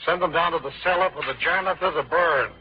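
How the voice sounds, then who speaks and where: A man's voice: fairly high pitch, fast talker, close to an everyday voice. An actor playing a dry, exasperated father of a teenage boy in a vintage radio drama. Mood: stern, exasperated. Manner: dry, stern, paternal. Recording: dull, lo-fi vintage radio recording.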